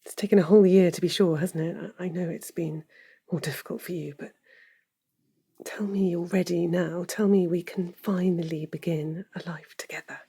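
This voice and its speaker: An actress playing an earnest, lovelorn woman in an audio drama, with a breathy voice and intimate delivery. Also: on the low side, steady tone, normal speed, warm tone. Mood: earnest, resolute. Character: earnest, lovelorn woman pleading for her love. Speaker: actor.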